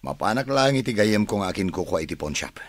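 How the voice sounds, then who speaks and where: Deep, husky wronged Ilocano husband. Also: male voice, sing-song, brisk, no room sound. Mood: suspicious. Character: suspicious, wronged husband confiding about his wife. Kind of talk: Ilocano radio drama acting.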